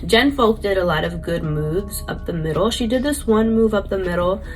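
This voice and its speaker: A woman's voice: medium pitch, expressive, normal speed, faint music behind. A young woman, stuffy and congested from a cold, chatting casually through a rambling vlog recap full of fillers. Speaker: vlogger and paintball player.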